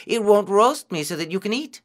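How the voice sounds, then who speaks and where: A man's voice: high voice, sing-song, brisk, voice pushed up. A man voicing a selfless, earnest male hare in a children's story, speaking emphatically. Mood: puzzled.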